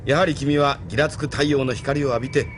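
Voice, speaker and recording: A man acting a genial, teasing older gentleman with a courtly, knowing manner in a Japanese audio drama. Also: mid-range voice, fairly even intonation, brisk, background noise, close to an everyday voice. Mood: gently teasing.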